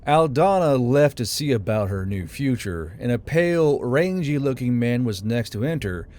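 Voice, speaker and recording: A man's voice: medium pitch, animated, normal speed, darkish tone. A man narrating a fantasy audiobook in a scripted storytelling style. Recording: music behind the voice.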